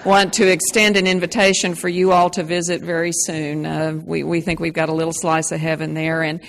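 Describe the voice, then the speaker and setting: A woman's voice: deep voice, flat delivery, a little fast, vocal fry. A woman with a Texan accent giving a folksy, upbeat conference talk with frequent fillers. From Texas. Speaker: conference presenter. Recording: reverberant hall with faint noise.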